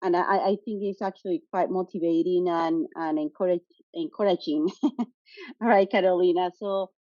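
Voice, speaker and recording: A woman's voice: lowish voice, moderate pace, dark tone, no echo, dull audio. A woman hosting an interview, speaking hesitantly with many fillers. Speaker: host.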